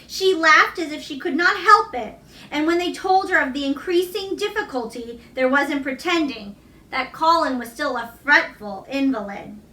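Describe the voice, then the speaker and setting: A woman reads a children's story aloud. Her voice is high and bright, and it sounds slightly distant from the microphone in an echoing room. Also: animated, moderate pace. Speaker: storybook reader, teacher. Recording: roomy echo, distant microphone.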